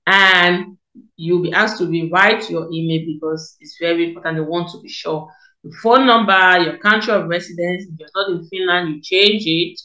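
Young woman from cameroon, lowish voice, explaining a form step by step, echoey room on a laptop mic. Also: moderate pace, sharp tone. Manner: explanatory, repeats key points, many fillers. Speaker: education consultant, tutorial host. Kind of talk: screen-recorded tutorial walkthrough.

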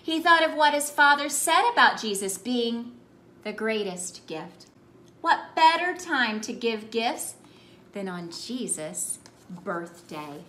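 Bright, high-voiced woman reading to children. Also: slow pace, slight background noise. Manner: animated, addressing children, emphatic phrasing. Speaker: children's ministry read-aloud host. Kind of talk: expressive children's storybook read-aloud. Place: small indoor room by a Christmas tree. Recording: roomy indoor echo.

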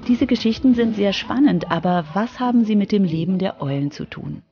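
Woman reporter doing scripted narration for kids radio, medium pitched voice. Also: animated, fairly quick, dark tone, lo-fi sound, soft background music.

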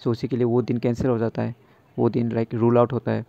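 A man's voice: mid-range voice, completely flat delivery, fairly quick, gravelly, dry recording, dull audio. A young man in a casual daily vlog, chatting tiredly at home with fillers and English words mixed in, speaking into earphone mics. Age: young adult. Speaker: vlogger. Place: small room at home. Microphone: wired earphone mic.

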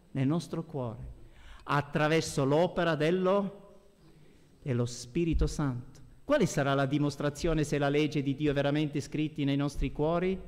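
A middle-aged man preaches in a reverberant church hall. His voice sits fairly high for a man, and he takes his time, delivering each phrase with emphasis as a pastor at the pulpit. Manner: emphatic, swinging between hushed and raised voice. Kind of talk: sermon with scripture readings. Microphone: gooseneck lectern microphone.

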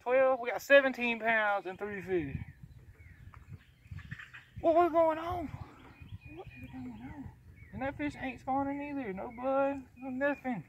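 An excitable man with a Southern US accent who repeats himself, chatting casually on a fishing vlog from a small open boat. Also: extremely high pitch, a little slow, long pauses, poor audio. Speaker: fishing vlogger. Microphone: action camera, worn or mounted nearby. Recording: outdoor action camera with background noise.

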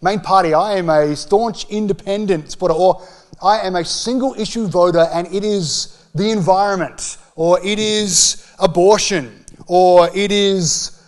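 A man in his forties with a somewhat high voice and an Australian accent preaches in a conversational way. He speaks into a handheld microphone, leaning on key phrases and pausing between them. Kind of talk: sermon, conversational public teaching. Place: church hall with stage.

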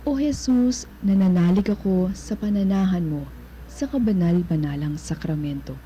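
A woman calmly leading the congregation in a devotional communion prayer. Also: fairly low pitch, normal speed, a little hiss or hum. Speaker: prayer leader.

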